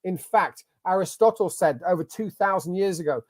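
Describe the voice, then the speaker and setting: An English-accented man talks through a screen-shared masterclass, sounding motivational and emphatic. His voice sits on the higher side for a man, and he drives his points home like a business coach rallying his audience. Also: normal speed, darkish tone. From England. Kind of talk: online masterclass presentation. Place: at a computer sharing his screen.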